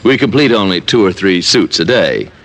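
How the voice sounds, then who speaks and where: Haughty, bright-voiced, fussy shopkeeper. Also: male voice, deep voice, big pitch swings, normal speed, full character voice. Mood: fussy, aggrieved. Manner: pompous, disdainful, precise wording. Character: haughty, fussy male owner of fashionable tailoring store. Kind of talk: old-time radio drama acting.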